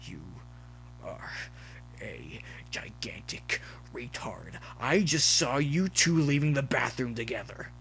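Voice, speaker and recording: A man voicing an angry, drunk male college pony bully who shouts threats in an audio story. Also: mid-range voice, sing-song, takes it slowly, gravelly, slight background noise, exaggerated voice, low-quality recording. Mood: exasperated.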